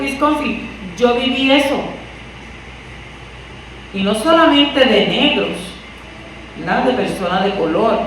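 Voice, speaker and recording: A woman from Puerto Rico with a Puerto Rican accent, preaching a conversational sermon and asking her listeners rhetorical questions. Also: a little high. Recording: echoey room from far off, faint noise.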